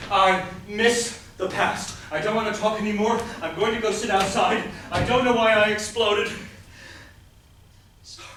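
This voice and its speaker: Actor as anguished high-voiced man in echoey theatre. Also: steady tone, normal speed, fairly bright tone. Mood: deflated, sheepish. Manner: declamatory, emotional. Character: anguished man exploding in a rant, then sheepish. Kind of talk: theatrical stage acting. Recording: echoey stage recording from far off, noisy.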